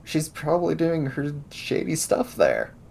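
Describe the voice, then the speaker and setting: A man playing a tabletop role-playing game, thinking things through aloud in casual, halting phrases. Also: on the high side, wildly swooping pitch, gravelly, darkish tone, a little hiss or hum. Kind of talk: casual in-character game discussion.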